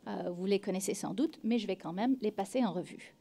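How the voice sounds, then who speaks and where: A woman's voice: medium pitch, vocal fry, warm tone. Middle-aged english-canadian woman, panel talk, reads from notes, lots of euhs, table mic in a meeting room. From Western Canada. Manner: measured, reads from notes with frequent "euh" fillers. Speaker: professor on a conference panel. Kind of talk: prepared academic talk. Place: meeting room with seated audience. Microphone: table mic on stand.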